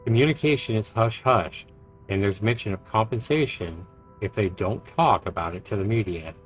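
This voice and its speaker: A man's voice: fairly low pitch, animated, moderate pace, rough recording. A man who streams games, reading visual novel narration aloud and reacting to it. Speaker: game streamer. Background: game music.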